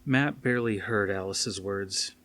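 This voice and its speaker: Low-voiced man reading a dramatic story. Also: measured pace, a bit bright. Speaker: audiobook reader. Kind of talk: dramatic scripted narration. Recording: faint noise behind the reading.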